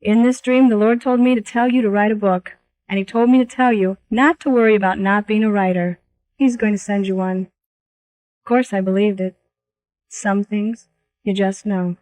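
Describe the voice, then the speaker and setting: A woman's voice: medium pitch, fairly even intonation, moderate pace, darkish tone, no echo, poor audio. A woman reading a scripted, first-person audiobook introduction as its author.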